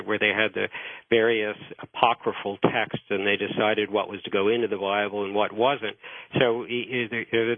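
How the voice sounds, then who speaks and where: A man explaining history as a radio interview guest, halting and repeating words as he starts phrases, over a narrow phone line. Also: on the low side, dry recording.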